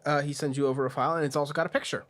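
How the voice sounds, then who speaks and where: A man's voice: on the high side, steady tone, racing, fairly bright tone. A man narrating a tabletop role-playing game as game master, describing what the players see.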